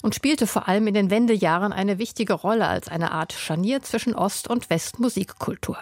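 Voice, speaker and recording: A woman's voice: medium pitch, normal speed, slight background noise. A woman reading scripted narration for a radio feature.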